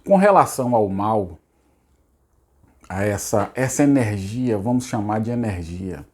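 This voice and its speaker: Middle-aged man, hoarse and mellow, rambling psychologist host, rhetorical. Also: on the low side, animated, a little slow. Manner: repetitive, rhetorical, casual asides. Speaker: psychologist hosting a channel. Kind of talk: unscripted spiritual self-help talk.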